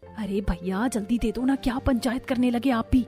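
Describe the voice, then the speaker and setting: A sly, scheming young bride in a cartoon family tale, played by a woman. Her voice is fairly high and she often mutters her plans to herself, over a music bed. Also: moderate pace, mellow, lightly acted. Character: scheming young new bride, secretly lazy. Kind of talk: Hindi cartoon story dialogue. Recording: music behind the voice.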